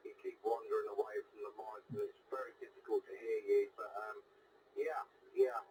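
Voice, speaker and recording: A man's voice: lowish voice, steady tone, normal speed, dark tone. A man heard over a CB radio, chatting casually as he gives a signal report. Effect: CB radio transmission. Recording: noisy CB radio speaker in room.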